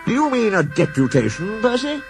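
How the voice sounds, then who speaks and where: A man doing a calm railway boss. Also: sits high, fairly quick, creaky, over music, pitched above the performer's own voice, full character voice. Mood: kindly. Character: stout kindly male railway boss.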